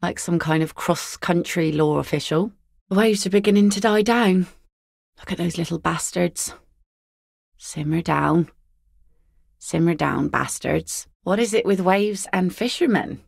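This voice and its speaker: A woman's voice: fairly low pitch, measured pace, vocal fry, dry recording. An actress playing a weathered net picker and mother in a video game, dry and plain-spoken. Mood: dry, wry. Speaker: fisherwoman and mother.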